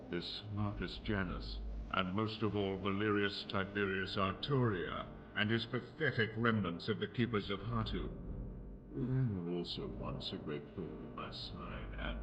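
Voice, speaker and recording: A man voicing a cold, smug elven commander in a fantasy game, taunting and deliberate in his threats. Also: low-pitched, lo-fi sound, background noise. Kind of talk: fantasy game villain monologue. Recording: loud music under the voice.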